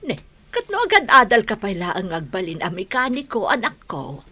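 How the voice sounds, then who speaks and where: A woman's voice: mid-range voice, huge pitch swings, normal speed, bright, forceful tone, heavily acted. An actress playing a caring older mother who can scold, in Filipino-accented radio drama style. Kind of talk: Ilocano radio drama acting.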